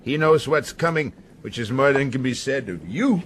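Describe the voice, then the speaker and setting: An actor playing a domineering, hard-driving sea captain in a radio drama, blunt and commanding. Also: male voice, medium pitch, expressive, normal speed, a little hiss or hum, lightly acted. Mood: stern, menacing.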